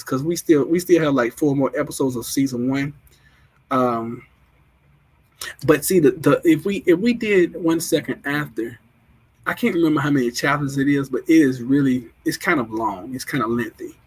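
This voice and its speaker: Husky-voiced man chatting on a livestream. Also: fairly high pitch, a little fast, poor audio. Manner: rambling, repeats himself, restarts often. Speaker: livestream host. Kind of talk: casual livestream chat, reading viewer comments.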